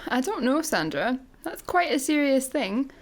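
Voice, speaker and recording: A woman's voice: sits high, very animated, measured pace, exaggerated voice. A woman playing a wry, sceptical young layabout in a sci-fi comedy radio play, with a dry, sardonic manner. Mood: challenging.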